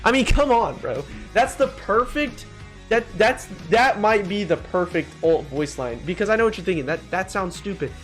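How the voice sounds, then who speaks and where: Young dude, pretty high voice, swings all over, casual joking gamer rant, bit of music behind. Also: male voice, moderate pace. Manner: animated, joking, mildly profane. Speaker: gaming video creator. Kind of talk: casual opinionated ranking commentary.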